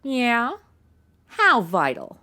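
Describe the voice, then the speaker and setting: A woman's voice: on the high side, huge pitch swings, extremely slow, pushed and bright, full character voice. A woman playing a slangy, blunt, sarcastic modern young woman who is a detective's sidekick in a comedy audio drama. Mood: wry, questioning.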